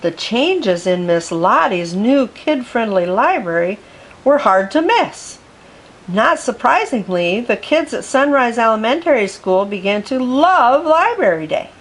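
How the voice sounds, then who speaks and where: A woman reading a children's picture book aloud for storytime, with gentle, expressive narration. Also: on the high side, measured pace, low-quality recording. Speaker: storytime reader.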